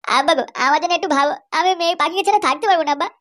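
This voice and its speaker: Someone voicing a high-pitched lovesick cartoon lad. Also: very fast, a bit bright. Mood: pleading. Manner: whiny, quarrelsome, swearing. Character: lovesick young man, tearful then drunk and angry. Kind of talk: cartoon voice acting.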